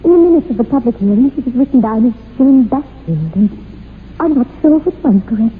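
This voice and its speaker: A woman playing a haunted, devoted young woman in an old radio horror drama, with dramatic, halting emphasis. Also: on the high side, animated, natural voice. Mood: uncertain, concerned. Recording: tinny, noisy old radio recording.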